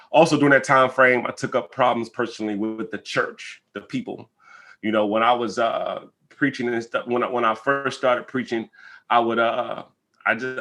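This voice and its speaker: A man's voice: medium pitch, sharp tone. An American man speaking as an interview guest, reflecting conversationally in an earnest, emphatic way and often checking in with his listener.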